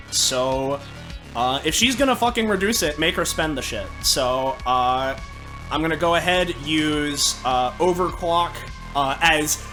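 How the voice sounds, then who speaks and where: A man with a fairly high voice talks through his turns as a player in a tabletop game. He is casual, jokey and sweary, with light music behind him. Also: sharp tone.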